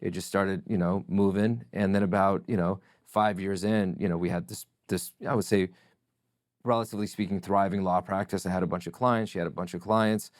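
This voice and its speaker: Low-voiced reflective Russian-born man. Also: steady tone, no room sound, studio quality. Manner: reflective, measured storytelling. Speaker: podcast guest. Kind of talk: casual interview chat.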